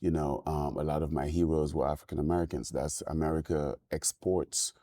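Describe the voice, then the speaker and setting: Interview guest: very low, mellow male voice, Senegalese French accent, thoughtful and halting, dry close sound. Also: level tone, dry recording. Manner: thoughtful, halting with many ums and restarts. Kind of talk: reflective interview talk.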